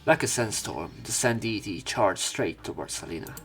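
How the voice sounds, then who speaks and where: Low-voiced man reading a game story aloud. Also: lively intonation, moderate pace, vocal fry, fairly bright tone. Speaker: let's play narrator reading a visual novel aloud. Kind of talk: read-aloud narration with casual commentary. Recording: game music behind.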